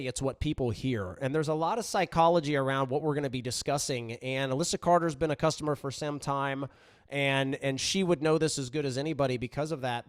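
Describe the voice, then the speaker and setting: A man hosting his own podcast in an upbeat, energetic manner, his voice still slightly raspy from a recent voice loss. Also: mid-range voice, no echo, studio quality. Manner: upbeat, energetic, lots of shout-outs. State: recovering from voice loss. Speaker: podcast host. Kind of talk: casual podcast monologue.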